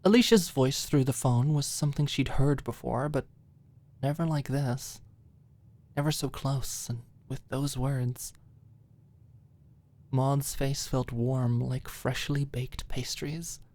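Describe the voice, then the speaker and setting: A woman's voice: a bass voice, fairly even intonation, slow, pauses often, dark tone. A woman reading scripted story narration for an ASMR-style audiobook, close to the microphone.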